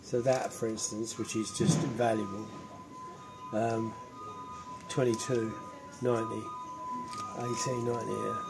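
A man's voice: on the low side, little pitch movement, measured pace, creaky, long pauses, poor audio. A man in his fifties chatting casually while shopping in a big warehouse store. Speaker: renovation vlogger. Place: inside a large DIY warehouse store. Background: music.

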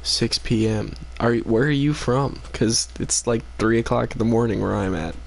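A man's voice: mid-range voice, normal speed, creaky. A tired young man live-streaming a game late at night, chatting casually with viewers in a rambling, laid-back way with lots of fillers. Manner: rambling, laid-back, many fillers, reads chat aloud. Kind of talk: casual live-stream chat while gaming.

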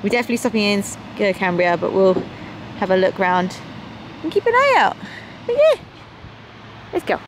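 A woman, chatting excitedly on a travel vlog outdoors by the coast. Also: mid-range voice, normal speed, long pauses, noisy background. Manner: chatty, excited, thinking aloud. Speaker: travel vlogger. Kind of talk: casual travel vlog chat. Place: outdoors on a windy beach and harbor.

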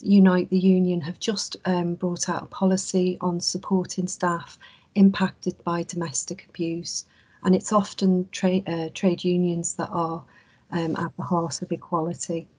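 A woman from England, a clinical psychologist, giving an earnest, measured webinar talk over a video call. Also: on the low side, darkish tone, slightly rough. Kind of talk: webinar presentation.

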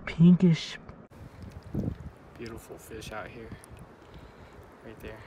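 A young man casually talking to viewers while fishing at a mountain lake, speaking quietly and admiring the fish, recorded on a body-mounted action camera. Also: fairly low pitch, lively intonation, slow pace. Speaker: fishing vlogger. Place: outdoors by a mountain lake and stream. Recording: outdoor action camera with water noise. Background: water and wind.

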